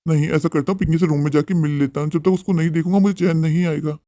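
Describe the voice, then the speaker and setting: A man's voice: a little high, steady tone, fast talker, warm tone, no echo, clean studio sound, natural voice. A man voicing an anxious, devoted father in a cartoon melodrama, with a worried, questioning manner. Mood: concerned. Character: anxious, devoted father searching for his daughter. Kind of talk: melodramatic Hindi cartoon moral story.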